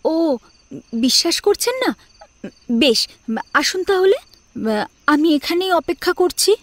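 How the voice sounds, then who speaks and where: A woman acting a proud, long-suffering young wife, measured and pointed, in an audio drama. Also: very high voice, animated, a bit bright, no echo, voice pushed up, exaggerated voice. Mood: guarded politeness. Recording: music behind the voice.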